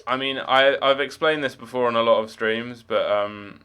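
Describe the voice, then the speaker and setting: A man's voice: mid-range voice, fairly even intonation, normal speed, bright, forceful tone, clean tone. A young man with no marked accent, chatting casually while live-streaming a game, rambling with many fillers and restarts.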